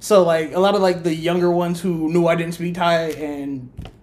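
Young woman podcast co-host with a lowish voice who chuckles through her anecdotes. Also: steady tone, moderate pace, darkish tone. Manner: laughs often while telling stories. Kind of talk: casual podcast chat.

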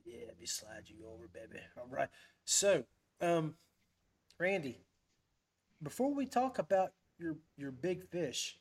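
A man's voice: on the high side, very animated, measured pace, long pauses, slightly rough. A man hosting a casual live-stream podcast in a folksy, chummy way. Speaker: podcast host.

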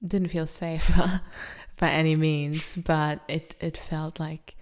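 A woman's voice: sits low, level tone, slow pace, clear, resonant tone. A woman with an Australian accent, a podcast guest talking frankly and chattily. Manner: frank and chatty, laughs while telling stories. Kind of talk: casual conversation.

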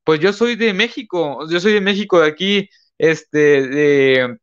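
A man's voice: a little high, a little slow, smooth, dull audio. A young man from Mexico, hosting a casual live-streamed podcast, rambling with many fillers and self-corrections. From northern Mexico. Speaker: podcast host.

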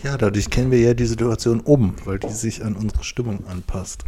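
A man's voice: on the low side, a little fast, warm tone, slight background noise. A man talking casually as a co-host on a podcast. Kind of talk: casual chat.

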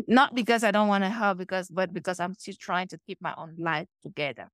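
A middle-aged woman from Cameroon with a Cameroonian accent, talking as an interview guest and telling stories with animated acted-out dialogue. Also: medium pitch, fairly quick, no room sound, studio quality. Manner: animated storytelling, acts out quoted dialogue. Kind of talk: conversational interview.

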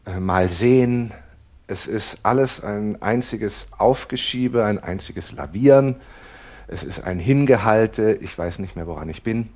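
A rigid, exasperated husband in a naturalistic radio play. His voice sits fairly low. He talks haltingly and pedantically, insisting on plans and rules. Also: a little mellow. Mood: frustrated. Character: rigid, plan-obsessed husband in couples therapy, exasperated. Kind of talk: naturalistic improvised-sounding audio drama dialogue.

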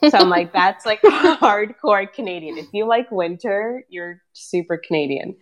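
A woman in her thirties with a light Brazilian Portuguese accent, talking casually as an interviewee, full of "like" fillers and quick to laugh. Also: mid-range voice, animated. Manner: chatty, many "like" fillers, laughs easily.

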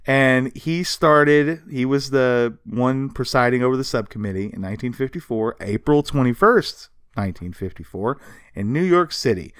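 A man co-hosting a casual, comedic history podcast, telling stories from notes in an animated way with casual swearing. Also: mid-range voice, moderate pace, clean tone, dry recording, clean studio sound. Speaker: podcast co-host.

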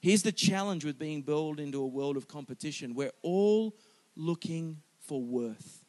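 High-voiced animated male preacher. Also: unhurried. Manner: animated, emphatic, joking with the congregation. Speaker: pastor. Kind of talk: sermon.

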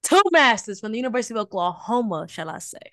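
A woman's voice: mid-range voice, lively intonation, fast talker, bright, forceful tone, no echo, clean studio sound. A young Southern woman from Houston, co-hosting a casual podcast in a playful, teasing way. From Houston, Texas. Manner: playful, teasing, hypes up her co-host. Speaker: podcast co-host.

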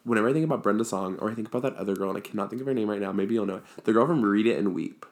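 A man's voice: fairly low pitch, racing. A young man in his twenties, co-hosting a casual podcast chat, rambling with lots of filler words. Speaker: podcast co-host.

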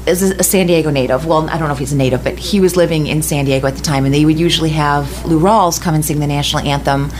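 A woman's voice: low-pitched, steady tone, fast, hardly any pauses. A middle-aged woman from West Michigan, a radio host telling a long story in casual chat, often laughing and restarting her sentences.

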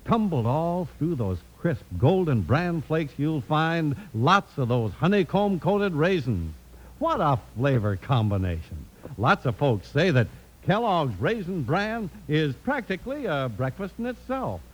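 A man narrating and pitching the sponsor as a vintage radio announcer, with a folksy, polished delivery. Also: sing-song, unhurried, rough recording.

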